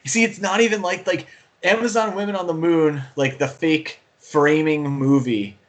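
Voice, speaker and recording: A man's voice: fairly high pitch, fairly even intonation, normal speed. A man co-hosting a podcast, talking casually about movies in an enthusiastic, rambling way. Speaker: podcast co-host and film fan.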